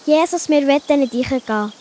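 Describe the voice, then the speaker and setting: Children's audio play: woman as young Swiss German girl, high-pitched, cheerful, sensible and encouraging. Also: brisk, dark tone, a little hiss or hum, close to an everyday voice. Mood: earnest prayer.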